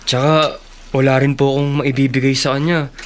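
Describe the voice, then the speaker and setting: An actor playing a humble, eager young gardener in a Tagalog radio drama, polite and a bit anxious. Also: male voice, medium pitch, flat delivery, normal speed, slight background noise, natural voice. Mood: humble, earnest.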